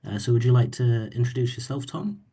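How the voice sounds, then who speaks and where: A man's voice: mid-range voice, lively intonation, brisk, mellow, breathy edge. A man, hosting a webinar in an easygoing way with many ums. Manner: easygoing, with frequent ums and uhs. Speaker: webinar host, moderator. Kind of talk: moderating a webinar.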